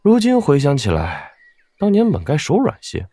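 A heavyset, fawning general from a wuxia audio drama. His voice is husky and sits fairly high, swinging widely as he grovels and muses in folksy fashion. Music plays underneath. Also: huge pitch swings, normal speed, warm tone, dry recording, heavily acted. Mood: wry, reflective. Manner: obsequious, chuckling, sighing, folksy. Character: obese fawning general, self-deprecating, ruthless past, wry.

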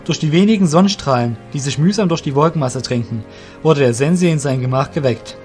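A man's voice: fairly high pitch, brisk, a little mellow, faint music behind. A man reading scripted story narration for an audio drama.

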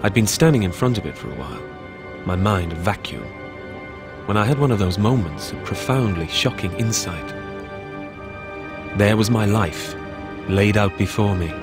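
A man playing a lonely, self-mocking artist, reading his letters aloud in an introspective, measured way for an audiobook. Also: on the low side, natural voice.

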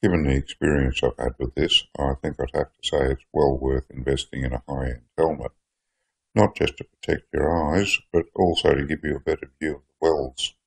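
Older Aussie hobbyist with a very deep, gravelly voice, casual and self-deprecating product review. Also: male voice, fairly even intonation, normal speed, rough recording. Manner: chatty, plainspoken, self-deprecating. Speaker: hobby welder reviewing gear. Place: workshop shed.

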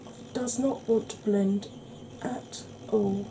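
A middle-aged woman with a mixed Welsh and Yorkshire accent, chatting gently and slowly through a makeup tutorial. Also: mid-range voice, steady tone, creaky, slight background noise, poor audio. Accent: Welsh and Yorkshire mix. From England, raised by Welsh family. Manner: deliberately slow, gentle, chatty. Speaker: beauty YouTuber. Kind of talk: slow soft-spoken makeup tutorial chat.

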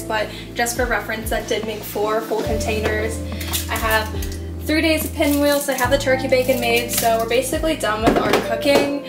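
A young woman in her twenties chatting casually through a cooking vlog at home, giving upbeat step-by-step tips. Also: a little high. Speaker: flight attendant vlogger. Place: home kitchen, indoors. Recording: guitar music playing underneath. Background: guitar music.

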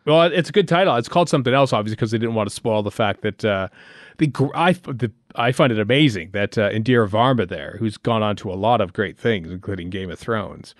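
Male podcast co-host, lowish voice, dry recording, casual and meandering, searching for words. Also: quick pace, no room sound. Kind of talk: casual TV commentary chat.

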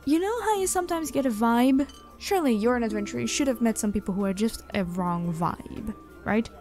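A woman's voice: on the high side, animated, brisk, a little mellow, faint music behind, natural voice. A woman voicing an elven tavern keeper in an ASMR roleplay, familiar and teasing with the listener, protective and tough. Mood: affectionate, chatty. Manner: familiar, teasing, uses pet names.

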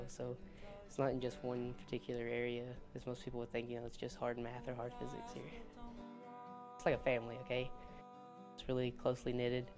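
A teenage boy, a student leader, speaking earnestly in a studio interview. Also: mid-range voice. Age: teens.

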